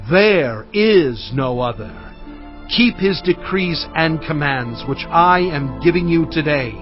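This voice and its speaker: An actor playing a male leader solemnly exhorting his people in a dramatized scripture reading. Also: on the high side. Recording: muffled audio over faint music.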